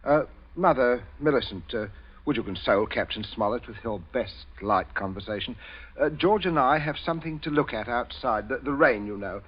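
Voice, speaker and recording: An actor playing a coolly calculating English gentleman in an old radio suspense drama, speaking in a measured, politely threatening way. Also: medium pitch, slightly rough, natural voice. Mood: falsely genial. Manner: measured, polite, hesitant hums, quietly threatening. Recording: dull vintage radio recording.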